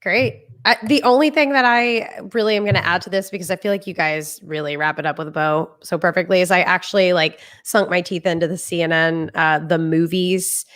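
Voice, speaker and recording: A woman's voice: lowish voice, fairly even intonation, fairly quick, hardly any pauses, a bit bright. A woman co-hosting a podcast, casually and enthusiastically chatting and giving movie recommendations. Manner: enthusiastic, conversational. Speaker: podcast co-host.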